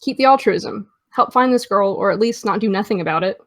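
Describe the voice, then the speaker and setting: A woman's voice: medium pitch, a little fast, a bit bright, no echo, lightly acted. An actress playing a guarded, hungover teenage girl, dry, hesitant and defensive, in a naturalistic audio drama.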